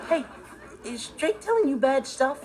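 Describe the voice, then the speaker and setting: A man's voice: very high-pitched, big pitch swings, a little slow, noisy background, heavily acted. A young man with an American accent playing an earnest, rule-following, easily exasperated teenage brother in a sitcom, speaking emphatically to camera. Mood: preachy. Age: teenage to young adult. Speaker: sitcom lead. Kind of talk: scripted sitcom monologue to camera.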